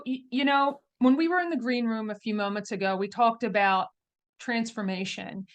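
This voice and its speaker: A woman hosts a podcast interview with a mid-range voice. She sounds enthusiastic and affirming as she welcomes her guest and sets up her questions. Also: mid-range voice. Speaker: podcast host.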